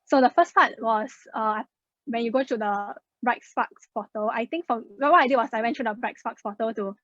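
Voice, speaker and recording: A young woman talks her listeners through a slide presentation in a chatty, casual way. Her voice sits a little high. The sound is dull and very dry, with no room in it. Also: normal speed, fairly bright tone, no echo. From Singapore. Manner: chatty, many fillers like "uh" and "like". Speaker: scholarship student sharing her experience.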